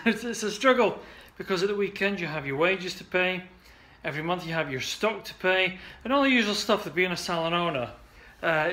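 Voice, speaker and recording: Upbeat man pitching to camera. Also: pushed and bright. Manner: chatty and upbeat, with many restarts and "uh"s. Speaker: salon marketing coach. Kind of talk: casual direct-to-camera pitch. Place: indoor studio office.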